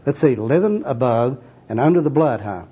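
A man's voice: mid-range voice, expressive, normal speed, husky. A man voicing a dejected, bleary skid row bum in a comic skit, talking in puzzled, slangy street talk. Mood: baffled, wry. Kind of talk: comic skit acted out in a sermon. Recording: dull, muffled lo-fi recording.

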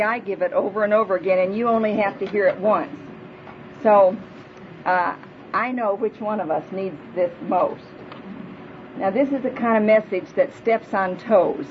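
A woman's voice: mid-range voice, slight background noise. Woman in her fifties for a plainspoken sermon to a women's group, big voice, dull live recording. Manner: earnest, prayerful, plainspoken. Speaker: speaker at a women's church retreat. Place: hall before a live audience.

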